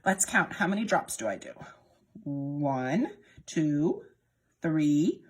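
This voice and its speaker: Woman leading storytime, very lively pitch. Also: slow pace. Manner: sing-song, prompting young viewers with questions. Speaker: children's storytime presenter at a library. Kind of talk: children's lesson with repetition and demonstration.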